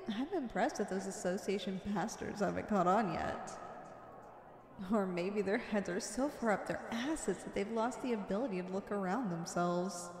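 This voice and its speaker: A woman's voice: mid-range voice, darkish tone, lightly acted. A woman playing a flirty, confident female supervillain in an ASMR roleplay, coy and teasing as she sweet-talks the listener. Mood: amused, flirty. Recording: echoey with long reverb.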